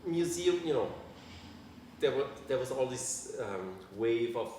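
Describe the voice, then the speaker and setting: A man in his forties with an Austrian accent, a native German speaker, talks reflectively in an interview as an artist, haltingly and often restarting his phrases. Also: medium pitch, expressive, dark tone, a little hiss or hum. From Vienna, Austria. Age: 40s. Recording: very echoey room, distant from microphone.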